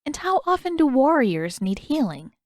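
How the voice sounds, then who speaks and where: A narrator puts on a kindly older woman, a healer in a fantasy story. She speaks in a measured, patient way, calm but gently firm, often questioning. The sound is close and dry. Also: high-pitched, no echo, pitched above the performer's own voice. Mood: gently amused.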